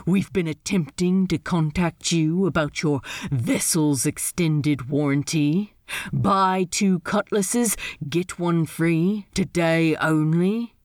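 A brooding male pirate captain from a storybook , played by a woman. The voice is low and gruff, drawling slowly with dry sarcasm. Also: a little mellow, no echo. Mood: sardonic, dry. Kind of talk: fan fiction audiobook character voice.

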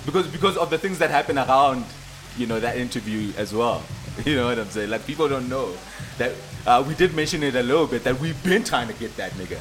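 A man co-hosting a podcast in casual, swearing, animated chat. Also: mid-range voice, quick pace, poor audio. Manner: animated, swears freely, laughs often. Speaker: podcast co-host.

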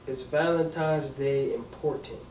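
A man's voice: medium pitch, unhurried, crowd noise. A man in his twenties in a casual group chat, talking in a blunt, frank way. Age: 20s. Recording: roomy, distant mic, faint noise.

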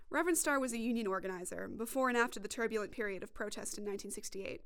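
A woman's voice: medium pitch, lively intonation, racing, a bit bright, clear, resonant tone. A woman reporter reading scripted narration for a podcast documentary.

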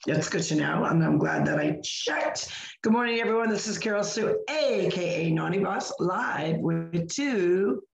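A hoarse woman, co-hosting a chatty podcast and often correcting herself mid-sentence. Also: on the low side, animated, unhurried, echoey room. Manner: rambling, self-correcting. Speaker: co-host of a wellness podcast. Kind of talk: casual chat.

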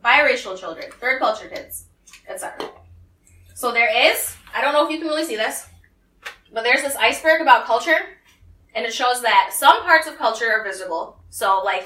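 Animated young woman in an echoey room. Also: a little high, a little fast, a little hiss or hum. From United States. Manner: animated storytelling, acting out quoted voices. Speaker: speaker giving a talk. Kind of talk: personal talk to a small group. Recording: echoey room, voice from some distance.

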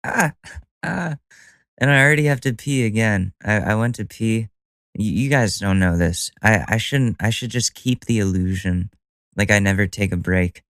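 A man's voice: lowish voice, moderate pace, a bit bright, no room sound, studio quality. A young man hosting a solo podcast, talking casually with a stuffy nose, rambling and joking at his own expense with the odd swear word. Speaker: podcast host.

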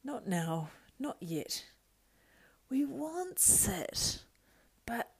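A woman reading a storybook aloud and voicing a wretched, greedy male creature who argues with himself in hissing whispers and high whines. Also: sing-song, extremely slow, husky, exaggerated voice, poor audio. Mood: arguing with himself. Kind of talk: audiobook character voice.

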